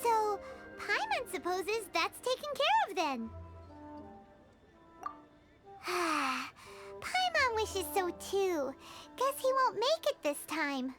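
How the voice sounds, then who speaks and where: A woman playing a tiny, bossy, childlike fairy companion in a video game, squeaky and excitable. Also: slow pace, exaggerated voice. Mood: resigned. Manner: squeaky, childlike, refers to herself in third person. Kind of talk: English video game voice acting. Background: game music.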